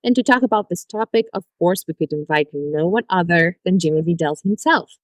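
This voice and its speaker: Brazilian lady hosting a podcast, kinda low voice, dry close sound. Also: a little fast, no room sound. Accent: Brazilian Portuguese. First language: Portuguese. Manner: laughs often, polite and chatty. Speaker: podcast host. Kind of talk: friendly podcast interview.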